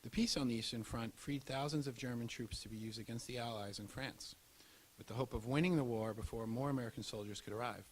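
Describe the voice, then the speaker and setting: A man's voice: mid-range voice, fast, rough recording. A man reading a scripted historical narration. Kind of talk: scripted documentary narration read aloud. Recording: audible hiss and background noise.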